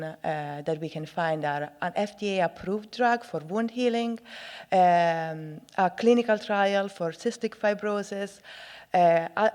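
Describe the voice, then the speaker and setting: A woman's voice: lowish voice, darkish tone. A woman from Italy with an Italian accent giving a friendly, hesitant research talk on a panel. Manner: friendly, joking, hesitant with fillers. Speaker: research grant speaker. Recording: reverberant conference hall.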